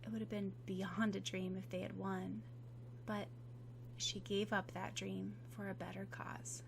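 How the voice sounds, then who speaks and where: A woman narrating a fan-fiction audiobook in a soft, even reading voice that drops low at the ends of lines. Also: a little slow, vocal fry, noisy background.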